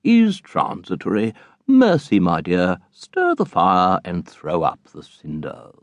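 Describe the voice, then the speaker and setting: A man voicing a pompous, sanctimonious middle-aged father in an audiobook, speaking slowly and distinctly in an unctuous, moralising way. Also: deep voice, wildly swooping pitch, dry recording, heavily acted. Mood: solemn.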